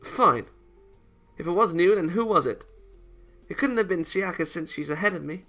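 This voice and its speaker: A man's voice: high-pitched, normal speed, sharp tone, faint music behind, pitched above the performer's own voice. A man voicing a flustered, easily angered young anime girl in a visual novel, stammering and indignant. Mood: angry.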